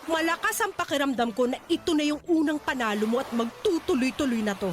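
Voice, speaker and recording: A woman's voice: high-pitched, brisk, soft background music, lightly acted. A woman voicing a pushy, domineering middle-aged stage mother who scolds and brushes off objections, in an audio drama. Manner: bossy, scolding, dismissive.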